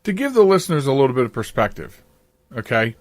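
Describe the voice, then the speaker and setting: A man's voice: medium pitch, very animated, fast talker, dry recording. An older man hosting a podcast, chatting casually in an interview. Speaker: podcast host.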